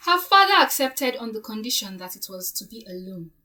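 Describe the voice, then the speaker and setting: A woman playing a shrewd, assured woman who deliberately lays out a case in a story drama. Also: a little high, big pitch swings, pushed and bright, recorded from a distance, slight background noise. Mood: assured, accusing. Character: shrewd woman calmly exposing a plot.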